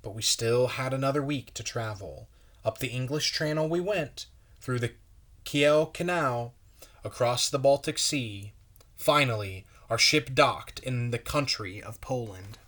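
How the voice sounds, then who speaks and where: A man, a pastor, reading a children's story aloud in a measured, expressive way. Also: mid-range voice.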